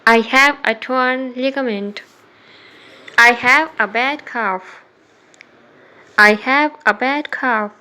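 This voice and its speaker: A woman reading short scripted example sentences as a language drill, in a deliberate, instructional way with evenly spaced words. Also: on the high side, pushed and bright. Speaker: English vocabulary teacher.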